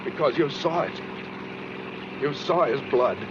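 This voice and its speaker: An actor playing a tired, suspicious killer on the run in a 1940s radio suspense drama, clipped and sardonic. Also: male voice, on the high side, measured pace, natural voice. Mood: menacing, accusing. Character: tired, menacing fugitive killer, curt and suspicious. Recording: noisy, muffled 1940s radio recording.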